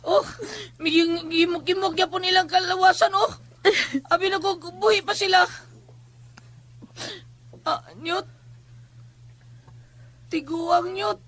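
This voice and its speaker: An actor playing a shrill, raving, gloating woman in a horror radio drama, frantic and cackling. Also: fairly even intonation, slow, long pauses, a bit bright, exaggerated voice. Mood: shocked, tearful.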